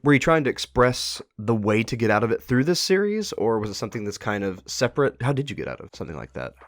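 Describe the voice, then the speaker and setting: A man's voice: medium pitch, very animated, fast, no room sound, clean studio sound. A man hosting a casual interview, asking questions in an inquisitive, encouraging way. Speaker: interviewer, host. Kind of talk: casual interview about a web horror series. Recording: music playing behind. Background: music.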